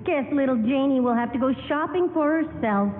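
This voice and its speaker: A woman's voice: sits high, fairly quick, lightly acted. An actress playing a careless, cheerful young woman in a 1940s radio commercial, perky and gushing. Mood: breezy. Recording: echoey hall, tinny radio broadcast.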